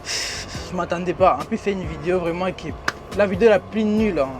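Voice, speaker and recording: High-voiced young man with a foreign accent. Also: brisk, over music. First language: not French. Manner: slangy, streetwise, repeats phrases, laughs often. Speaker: interviewee. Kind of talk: casual interview talk. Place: in a limousine.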